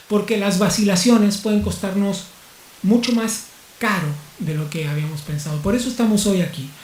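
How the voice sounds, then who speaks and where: A man moderating a formal panel discussion, speaking in a measured, rhetorical way with pauses. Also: sits high, a little mellow, reverberant.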